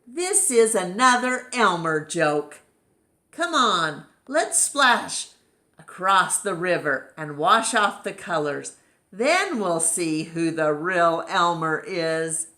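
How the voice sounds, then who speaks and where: A woman voicing excitable, easily puzzled elephants from a children's story, with exaggerated questions and exclamations. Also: on the low side, slow pace, full character voice. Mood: rallying.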